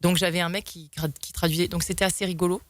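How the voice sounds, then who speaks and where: A woman's voice: on the low side, little pitch movement, quick pace, dry recording. A young woman guest telling stories in a talk show, rambling with many fillers and restarts. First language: French. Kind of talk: casual storytelling in an interview.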